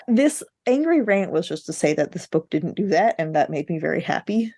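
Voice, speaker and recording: A woman's voice: lowish voice, very animated, normal speed, dark tone, dry recording, clean studio sound. A woman co-hosting a podcast, talking casually and bluntly about books with strong opinions. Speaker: podcast co-host. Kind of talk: casual book discussion.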